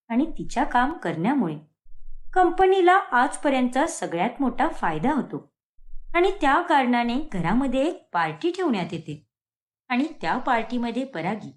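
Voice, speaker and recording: A woman narrates a scripted story in a high voice. Her intonation is lively and varies widely from phrase to phrase.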